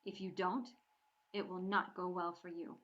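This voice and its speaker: A woman's voice: fairly low pitch, level tone, normal speed, a bit bright, highs cut off, close to an everyday voice. A woman voicing a proud teenage Viking boy who talks in a haughty, commanding way.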